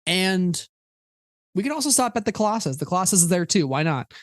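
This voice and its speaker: A man's voice: high-pitched, sing-song, fairly quick, no room sound, clean studio sound. A man hosting a podcast, chatting casually about a fan game with many filler words and restarts. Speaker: podcast host.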